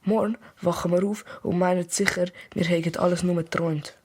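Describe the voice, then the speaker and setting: A woman's voice: on the low side, fairly even intonation, a little fast, darkish tone, a little hiss or hum, natural voice. A woman playing an eager, affectionate young boy with a Swiss accent in a children's radio play. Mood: conspiratorial.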